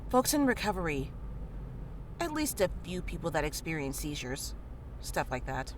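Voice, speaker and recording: A woman's voice: deep voice, sing-song, normal speed, background noise. A woman playing a casual, matter-of-fact, caring medic in a visual novel game. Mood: cheerful, casual. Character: frank, caring female medic in a grim world. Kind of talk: visual novel character dialogue.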